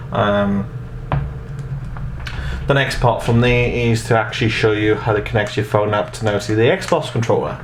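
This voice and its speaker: Young guy doing a casual how-to, lowish voice, keeps repeating himself, bit of noise in the room. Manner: rambling, repeats and restarts phrases. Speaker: host of a tech tutorial channel. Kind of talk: casual step-by-step tutorial. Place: small room at home, indoors. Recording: noticeable background noise.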